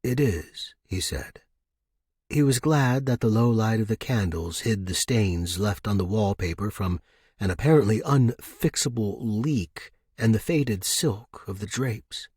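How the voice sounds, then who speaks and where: A man's voice: lowish voice, a little slow, a little mellow, husky, no echo, clean studio sound. A man reading scripted fiction as an audiobook narrator.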